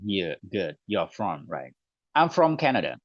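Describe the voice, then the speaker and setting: A man's voice: sits low, very animated, no echo. Man with a Vietnamese accent teaching online. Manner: clear, deliberate classroom delivery, slows on model phrases. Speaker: teacher trainer. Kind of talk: online teacher training session. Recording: dull, dry online call audio.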